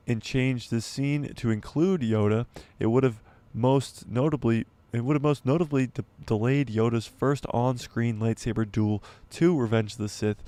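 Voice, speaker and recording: Hoarse, rambling livestream host, man. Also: medium pitch, darkish tone, no room sound. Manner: rambling, stumbles and self-corrects, laughs at himself. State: losing his voice, clearing throat. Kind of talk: casual livestream commentary reading an article.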